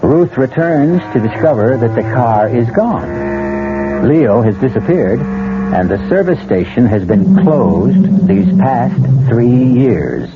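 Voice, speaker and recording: A man giving measured, dry narration to open a radio mystery. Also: medium pitch, sing-song. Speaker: narrator and host. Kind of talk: scripted radio drama narration. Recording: tinny radio sound over loud music.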